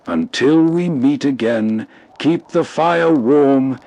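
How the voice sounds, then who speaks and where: A male channel narrator who closes the episode with soft, unhurried, cozy scripted narration. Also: medium pitch, no room sound. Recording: dry close voice-over.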